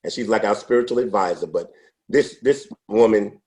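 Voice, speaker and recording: Deep, dark-voiced man in his sixties. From United States. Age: 60s. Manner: unhurried, with frequent restarts and fillers. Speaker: interview guest. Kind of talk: reflective storytelling. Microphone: podcast microphone.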